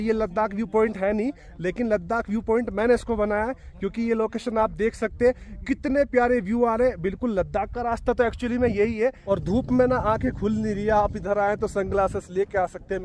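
High-voiced chatty male travel vlogger. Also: steady tone, fairly quick, few pauses, darkish tone. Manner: chatty, addresses viewers as brother. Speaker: travel vlogger and tour guide. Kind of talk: casual travel vlog narration. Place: outdoors in mountain valley and on road. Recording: faint outdoor noise.

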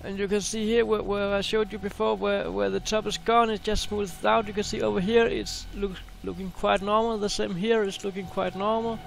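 A man hosting a space video, talking casually and excitedly over a screen share, often repeating words. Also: high voice, normal speed, gravelly, few pauses.

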